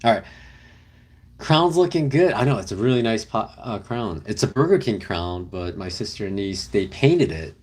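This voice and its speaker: Brief: young male streamer, low-ish voice, relaxed rambling chat with fillers. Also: normal speed, slightly rough. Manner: rambling, easygoing, many fillers. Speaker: livestream host. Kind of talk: casual livestream chat reading comments. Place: car camping, indoors with partner.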